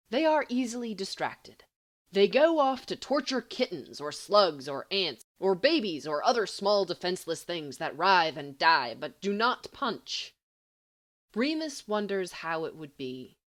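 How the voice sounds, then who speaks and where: A woman reading a story aloud as an amateur audiobook narrator, in an expressive, dramatic and wry manner. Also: medium pitch. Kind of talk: expressive scripted fiction narration.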